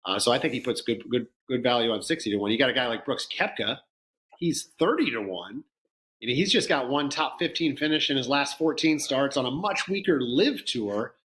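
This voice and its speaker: Mid-pitched male analyst, earnest, trips over his words. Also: animated, a little fast, fairly bright tone, rough recording. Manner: earnest, stumbling restarts. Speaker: golf betting analyst. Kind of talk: casual sports betting chat.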